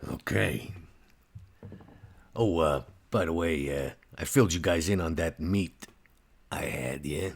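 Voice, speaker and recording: A man puts on a hard-boiled vampire tough guy for a tabletop game. The voice is extremely deep, gravelly and husky. He talks in blunt street slang. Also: slow pace, long pauses, heavily acted. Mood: businesslike.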